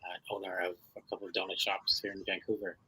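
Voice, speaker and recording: A man in his forties answering interview questions over a video call, pausing with fillers. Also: deep voice, flat delivery, sharp tone, slightly rough, rough recording. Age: 40s. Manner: hesitant, many filler pauses. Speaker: guest, chef and donut shop owner.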